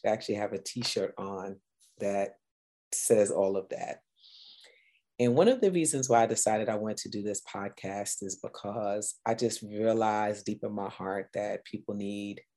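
Mellow-voiced woman hosting a podcast. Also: extremely low pitch. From Guyana. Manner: earnest, upbeat, self-introducing with fillers. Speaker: podcast host. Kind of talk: casual solo podcast talk.

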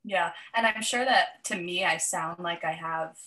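A young woman co-hosting a podcast in casual chat, playful and self-mocking, with a slightly raspy voice. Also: lowish voice, a little slow, bright, forceful tone, low-quality recording. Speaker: podcast co-host.

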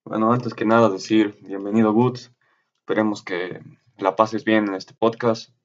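A man's voice: on the low side, steady tone, a little slow, muffled recording. A man co-hosting a podcast, reading a short welcome to the guest. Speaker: podcast co-host.

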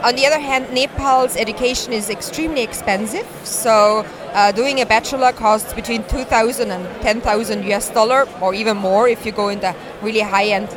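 A woman's voice: a little high, fairly even intonation, fairly quick, few pauses. Austrian-born woman amid a busy conference crowd. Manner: earnest, explanatory, non-native phrasing. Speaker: guest, startup founder. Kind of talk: interview at a conference. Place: conference hall.

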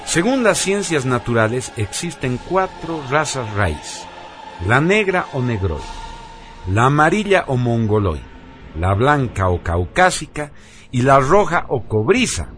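A man's voice: mid-range voice, sing-song, measured pace. A man reading a scripted radio narration in a solemn, emphatic, declamatory manner. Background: background music.

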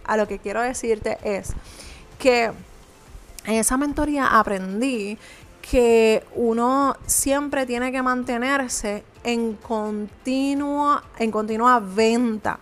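Earnest woman, higher voice. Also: slow pace. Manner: earnest, conversational, frequent "eh" fillers. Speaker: small business owner and coach. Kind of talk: motivational vlog talk to viewer.

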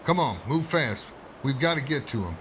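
A man's voice: medium pitch, lively intonation, normal speed, fairly bright tone, a little hiss or hum, close to an everyday voice, low-quality recording. A man playing a stern, urgent small-town doctor in old-time radio horror style, commanding and dramatic.

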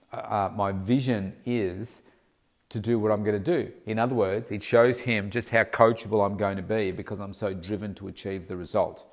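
A man's voice: lowish voice, a little mellow. A man who works as a personal fitness coach, sounding snuffly from hay fever, giving casual step-by-step advice with frequent ums. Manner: conversational, instructive, talks in steps with frequent ums.

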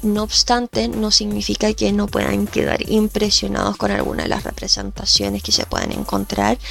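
A woman's voice: medium pitch, completely flat delivery, normal speed, gravelly, a bit bright, soft background music. A woman hosting a podcast, sounding nasal from allergies and explaining thoughtfully with fillers and restarts. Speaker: podcast host and researcher.

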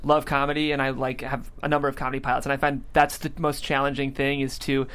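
A man's voice: mid-range voice, little pitch movement, fast, fairly bright tone, clear, resonant tone. A man hosting a casual panel interview, asking questions in a conversational style. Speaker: interview host.

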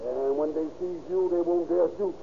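A domineering escaped convict in a vintage radio crime play. The voice is gravelly and a little high, slangy and commanding. The recording is muffled with some hiss. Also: male voice, steady tone, normal speed. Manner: commanding, slangy exaggerated dialect speech. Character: menacing escaped male convict, gun in hand, domineering. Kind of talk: 1930s radio crime drama acting.

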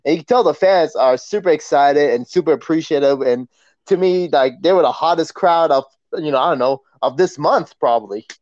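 A man with a somewhat high voice chats as co-host of a wrestling podcast. His delivery is casual and slangy, the way fans recap a show. The recording is a little dull and lo-fi. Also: a little fast, a little mellow.

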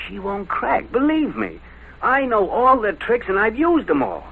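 A tough, tired American cop talks with lively, emphatic swings of pitch. He sounds exasperated, in old radio drama style. The vintage recording has faint noise. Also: a little slow, creaky, full character voice, low-quality recording. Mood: insistent. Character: weary, exasperated, tough male police lieutenant.

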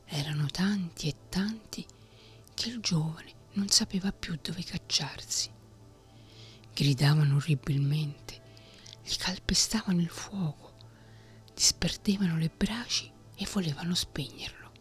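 A woman whispering a fairy tale in a soft ASMR reading. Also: fairly low pitch, measured pace, faint music behind. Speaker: storyteller.

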